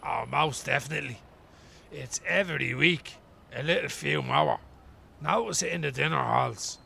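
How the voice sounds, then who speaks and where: An actor in a radio play playing a weary, wry homeless man from Dublin, rambling colloquially in a gravelly voice. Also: a little high, moderate pace, sharp tone, slight background noise, exaggerated voice. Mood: opinionated, weary. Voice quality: gravelly, creaky. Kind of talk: radio play acting as an interviewee.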